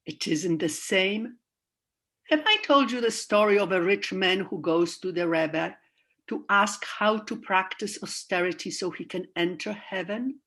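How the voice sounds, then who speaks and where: Woman playing elderly devout mother, radio play, very slow delivery, reproachful, emphatic pleading, medium pitch. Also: a bit bright. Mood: earnest admonishing.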